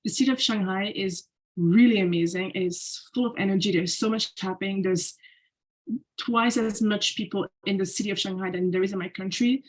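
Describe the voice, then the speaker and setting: A woman's voice: medium pitch, a little fast, poor audio. A young woman from Belgium giving a slide presentation as a podcast guest, talking casually with lots of "like" fillers and restarts. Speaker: guest speaker.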